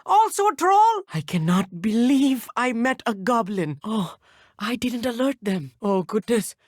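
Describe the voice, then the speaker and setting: An eager teenage schoolboy wizard for a video game. His pitch swings in an animated way and the sound is close and dry. He speaks formally and precisely, a nervous bookworm who likes to boast a little. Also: a little slow, dry recording, full character voice. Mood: nervous, alarmed. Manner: articulate, formal, slightly pompous. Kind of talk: video game character dialogue.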